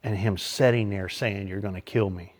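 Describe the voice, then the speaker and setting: A man's voice: fairly low pitch, fairly even intonation, moderate pace, a little mellow. A man giving a short, informal talk at a small church, folksy and conversational, poking fun at himself as he goes. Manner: folksy, conversational, self-deprecating. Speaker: lay preacher.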